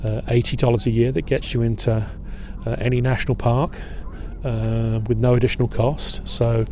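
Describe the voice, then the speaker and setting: A middle-aged man leading an outdoor history tour at a waterfront fort. He talks in a relaxed, halting way with many filler pauses. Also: medium pitch, noisy background. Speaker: historian and battlefield guide.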